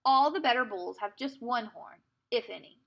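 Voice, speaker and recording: A woman's voice: on the high side, expressive, normal speed, gravelly, fairly bright tone, exaggerated voice. A woman voicing a mischievous, carefree little girl in a storybook, playful and exclamatory. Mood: cheeky.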